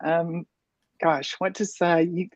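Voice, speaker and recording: A woman from Alabama introduces herself a little shyly on a video call. Her voice is low and even, and it comes through dry and muffled. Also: slow, no room sound. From central Alabama. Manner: shy, hesitant. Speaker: guest.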